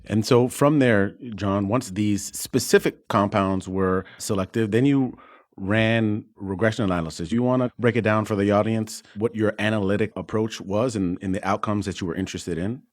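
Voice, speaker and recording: A man's voice: lowish voice, moderate pace. A man hosting a medical podcast, asking guests questions in a conversational way. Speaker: podcast host, physician. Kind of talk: conversational interviewing.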